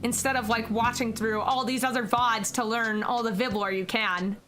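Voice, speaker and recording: A woman's voice: a little high, steady tone, normal speed, music in the background. A young American woman streaming, chatting casually with her viewers and giggling often. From United States. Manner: giggly, chatty, answers chat questions.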